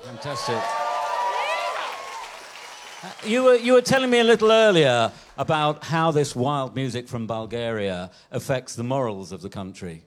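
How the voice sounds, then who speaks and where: Enthusiastic male festival host, animated pitch, faint crowd. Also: moderate pace, a little hiss or hum. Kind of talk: onstage interview.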